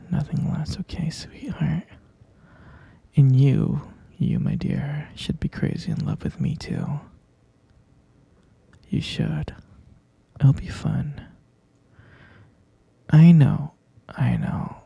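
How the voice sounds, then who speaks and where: A man's voice: mid-range voice, gravelly, breathy edge, no echo, heavily acted. A man playing a whispering possessive yandere captor. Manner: soft, clingy, soothing whisper with creepy insistence. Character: obsessive yandere male kidnapper, sweetly possessive. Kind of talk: ASMR roleplay.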